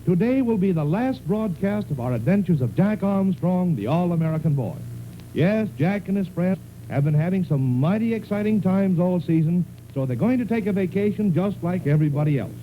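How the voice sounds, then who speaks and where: High-pitched animated vintage radio announcer man. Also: normal speed. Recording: dull, noisy vintage radio recording.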